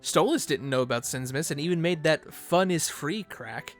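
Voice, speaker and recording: A man's voice: on the high side, moderate pace, faint music behind. A man narrating an informal fan video essay, with playful, conversational asides.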